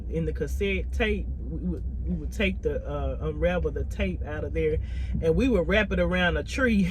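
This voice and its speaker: A middle-aged man reminiscing casually as a storyteller, in an unhurried, rambling way that circles back over what was said. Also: mid-range voice, background noise. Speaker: storyteller sharing childhood memories.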